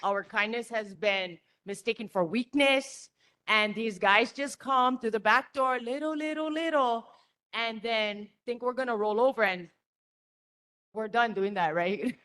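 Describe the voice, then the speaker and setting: A woman testifying at a public meeting, emotional and blunt, with a naturally loud voice. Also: fairly high pitch, measured pace. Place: community meeting room.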